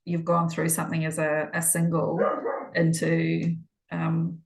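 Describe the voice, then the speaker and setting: A woman's voice: low voice, monotone, measured pace, creaky. A woman, a podcast guest answering interview questions in a hesitant way, with many fillers and restarts.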